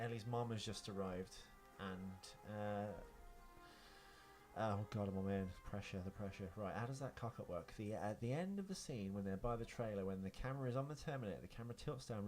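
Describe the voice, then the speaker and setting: A man's voice: lowish voice, brisk, a little mellow, soft background music. A man co-hosting a podcast in casual film banter, rambling and jokey, often poking fun at himself. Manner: jokey, rambling, self-deprecating. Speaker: podcast co-host. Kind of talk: casual banter about films.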